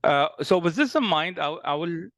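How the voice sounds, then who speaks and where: A man's voice: on the high side, animated, moderate pace, fairly bright tone, dry recording, clean studio sound. Lebanese man around sixty, hesitant. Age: about 60. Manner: hesitant, many fillers and restarts. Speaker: company founder. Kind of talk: personal life story monologue.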